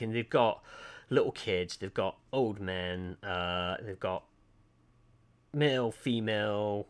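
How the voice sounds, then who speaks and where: A man's voice: fairly low pitch, a bit bright. Chatty Lancashire man, rambling. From Lancashire, England. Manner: rambling, chatty, self-deprecating, hesitant with frequent ums. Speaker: art livestreamer. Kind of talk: casual chat to stream viewers. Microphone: desk mic, webcam off.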